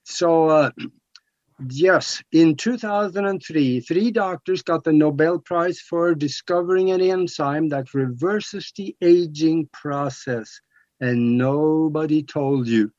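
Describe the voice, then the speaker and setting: A man's voice: on the high side, takes it slowly, darkish tone, no room sound. A man in his seventies from Norway with a Norwegian accent, explaining things emphatically as a talk radio guest. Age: 70s. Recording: dull lo-fi talk radio audio.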